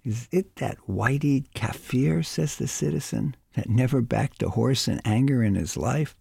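An older man from the United States reads a novel aloud. He lifts his pitch sharply for each quoted speaker. His voice sits in a middle pitch, recorded close and dry. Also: creaky, slightly rough, no echo. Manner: lively, quick pitch jumps for quoted speakers. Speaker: guest reader of a novel. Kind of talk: audiobook reading with character voices.

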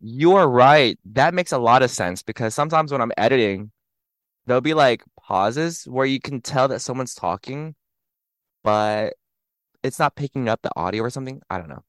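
Man, late twenties, podcast host, slightly raspy, smooth tone, dry close mic, animated casual chat. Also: medium pitch, moderate pace, no echo. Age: 20s. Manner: animated, giggly, chatty.

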